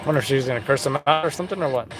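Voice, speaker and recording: A man's voice: mid-range voice, very fast. A man hosting a casual live commentary, chatting and explaining police radio codes while watching footage. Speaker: host.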